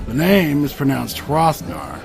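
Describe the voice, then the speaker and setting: An actor playing a blunt, sarcastic barbarian adventurer with a casual manner in a comic fantasy audio drama. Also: male voice, a little high, very animated, measured pace, creaky, exaggerated voice. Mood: wry. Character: gruff but civilized, wisecracking male barbarian adventurer. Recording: music playing behind the voice.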